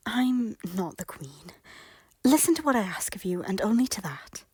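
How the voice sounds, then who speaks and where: A woman voicing a guilt-ridden former queen who pleads in breathy, often whispered lines. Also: mid-range voice, big pitch swings, moderate pace, dark tone, no echo. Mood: pleading. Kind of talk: fan audio drama acting.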